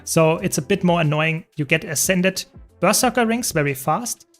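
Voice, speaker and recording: A young man from Germany, speaking English with a German accent, answers chat questions as he plays. His voice sits slightly high and stays relaxed and talkative, and soft game music plays quietly behind him. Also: moderate pace. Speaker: streamer. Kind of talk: casual live-stream chat.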